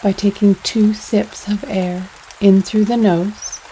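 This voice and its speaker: A woman leading a guided yoga nidra meditation in a soothing, unhurried way, pausing between phrases. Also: mid-range voice, background noise. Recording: steady rain sounds over voice. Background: rain sounds.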